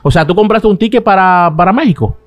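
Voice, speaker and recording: A man's voice: high-pitched, expressive, fast talker. A middle-aged man hosting an interview, probing with short follow-up questions. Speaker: interviewer and host. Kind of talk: conversational interviewing. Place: small indoor studio set. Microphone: boom-arm microphone close to the mouth.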